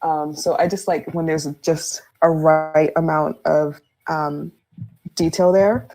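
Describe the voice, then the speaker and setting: A woman with a low-pitched voice chats on a podcast as a guest. She is candid and informal, talking in a conversational way and readily breaking into laughter. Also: fairly even intonation, slow pace, a little mellow, poor audio. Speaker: fan fiction writer, podcast guest.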